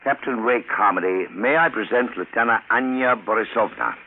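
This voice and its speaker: A man playing a formal, dignified older President in a 1950s radio drama, speaking in a measured, official manner. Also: lowish voice. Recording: noisy, tinny vintage radio broadcast.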